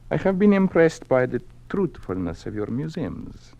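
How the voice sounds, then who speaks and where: A man's voice: mid-range voice, very animated, gravelly, a little mellow. A man playing an earnest, cultured Russian communist museum official who is secretly troubled, speaking formally in a radio drama. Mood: solemn. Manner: formal, measured, confiding speech. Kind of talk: mid-century radio drama acting. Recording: noisy old radio drama recording.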